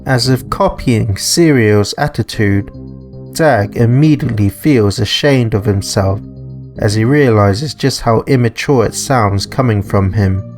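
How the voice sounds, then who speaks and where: A man's voice: on the low side, a little slow, darkish tone. A man reading scripted narration for a visual novel story, with music behind him. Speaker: narrator and author.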